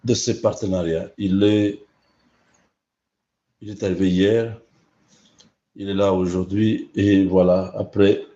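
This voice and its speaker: A hoarse man speaks slowly and deliberately in a lowish voice. He hesitates often and fills gaps with fillers. The sound is dull and lo-fi. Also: fairly even intonation, dark tone. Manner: slow, halting delivery with fillers. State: hoarse from a cold. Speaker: online channel host. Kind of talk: casual livestream commentary.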